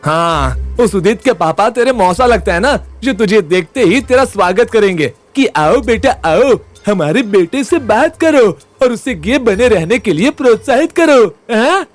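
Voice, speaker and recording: A man's voice: sits high, lively intonation, measured pace, few pauses, soft background music. A man playing a cocky, sarcastic young college amateur sleuth in a comic audio drama.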